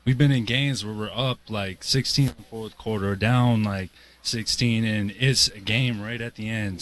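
A man in his twenties answering post-game interview questions as a basketball player, in a measured, earnest way. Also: on the low side, a bit bright. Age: 20s. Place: indoor basketball arena, courtside. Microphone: handheld interview microphone held to him. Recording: clear arena background noise.

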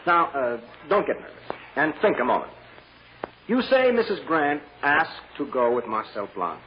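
Shrewd, animated defense lawyer. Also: male voice, fairly high pitch. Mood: smooth, probing. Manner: measured, pointed, persuasive. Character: shrewd, probing defense lawyer cross-examining a witness. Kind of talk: radio courtroom drama acting. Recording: muffled vintage radio recording with clear noise.

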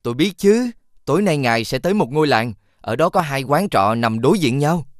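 A man voicing a wise, friendly talking fox in an animated fairy tale, earnest and insistent as he gives advice. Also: medium pitch, brisk, no echo, clean studio sound, close to an everyday voice. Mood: earnest advising.

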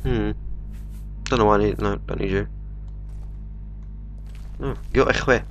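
Bunged-up gamer with game music. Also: male voice, lowish voice, fairly even intonation, measured pace, highs cut off. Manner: chatty, jokey, swears casually. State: bunged up with a cold. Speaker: let's play gamer. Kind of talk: casual gameplay commentary. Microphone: desktop microphone. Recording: game music playing underneath.

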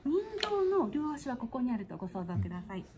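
Lively high-voiced woman museum guide. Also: fast talker. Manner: formal, patient explaining with questions to the visitor. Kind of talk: polite guided demonstration. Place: indoor museum exhibition hall. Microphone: phone camera on a gimbal held by a visitor. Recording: phone recording with clear hall background noise.

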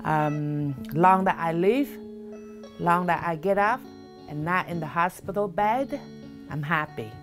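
A woman's voice: fairly low pitch, slow pace, over music. A middle-aged woman speaking in a reflective, hesitant way in an interview.

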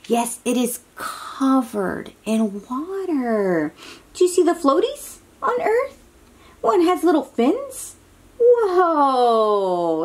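A woman reading a picture book aloud to young children, expressive and full of exclamations, drawing out words and dropping into hushed asides as she asks simple questions. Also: fairly high pitch. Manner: talks directly to young listeners with simple questions. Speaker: children's read-aloud host.

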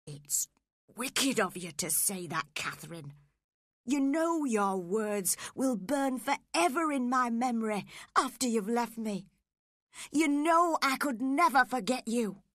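A brooding, passionate man acted by a woman narrator from a storybook romance. He speaks slowly and dramatically, torn between wild love and anguish. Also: low voice, fairly even intonation, a bit bright, dry recording, clean studio sound, heavily acted. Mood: wounded, tender. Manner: impassioned, dramatic delivery.

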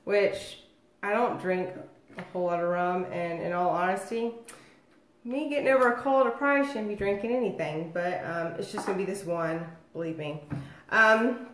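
A woman's voice: on the low side, moderate pace. Chatty woman with a cold. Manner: rambling and chatty, many fillers. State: getting over a cold, nasal and phlegmy. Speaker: host of a home cocktail livestream. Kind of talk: casual livestream chat. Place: dining room at home, indoors. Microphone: phone or webcam across the table. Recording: echoey room.

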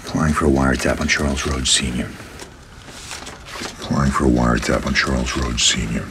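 An official with an extremely deep, flat voice. He speaks with a firm, level delivery. The clip carries clear background noise from the film scene. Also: moderate pace. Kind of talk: film dialogue.

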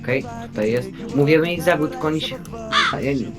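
A man's voice: a little high, quick pace. Young man in urgent gaming chatter over music. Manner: slangy, urgent callouts. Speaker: video game player in a squad. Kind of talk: casual in-game team chat.

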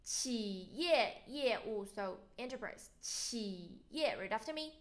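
A woman's voice: on the high side, lively intonation, slow pace. A young woman with a Chinese accent, a native Mandarin speaker, teaches vocabulary, switching between English and carefully enunciated Mandarin words for learners to repeat. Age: young adult. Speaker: language teacher.

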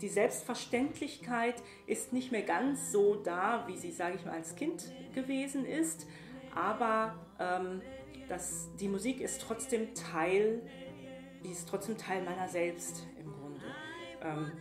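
A woman's voice: mid-range voice, slow. A middle-aged woman from Cameroon answering interview questions reflectively, searching for words and often repeating them. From Yaoundé, Cameroon. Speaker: musician and singer being interviewed. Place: small bright room indoors, seated by a table. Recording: roomy echo, music underneath.

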